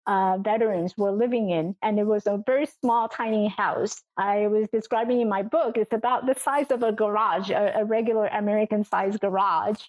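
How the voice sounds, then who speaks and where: A woman's voice: medium pitch, fairly even intonation, moderate pace, few pauses, a little mellow, rough recording. A middle-aged woman from Taiwan giving reflective, earnest answers as an interview guest, often repeating words as she finds her phrasing. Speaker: interview guest, coach and memoir author.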